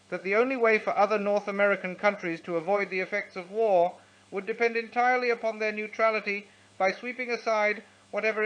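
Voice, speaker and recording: A dull, lo-fi recording carries a man's notably high voice. He delivers a scripted political address in a formal, declamatory way, as a wartime speech read aloud. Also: fairly bright tone. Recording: dull lo-fi sound.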